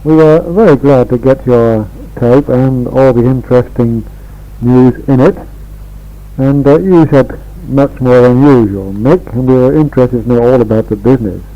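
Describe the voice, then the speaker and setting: English male, sixties, deeply mellow and relaxed, homely informal letter-to-family delivery, dull lo-fi 1960s reel-to-reel sound. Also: normal speed. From England. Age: 60s. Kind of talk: casual spoken letter to family.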